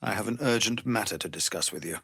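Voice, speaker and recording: An actor playing a weary, dutiful tiefling ex-soldier who leads refugees in a video game, speaking in a grave, measured, earnest way. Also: male voice, lowish voice, creaky, fairly bright tone, breathy edge, no room sound, studio quality, exaggerated voice. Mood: earnest, pleading. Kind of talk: dramatic video game character acting.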